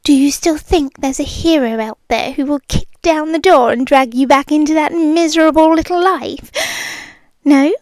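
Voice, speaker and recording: A woman's voice: high-pitched, no echo, natural voice. A woman with a British accent voicing an obsessive, possessive rich young woman in a whispered ASMR roleplay. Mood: possessive purring. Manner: soft whispered, slow, purring menace.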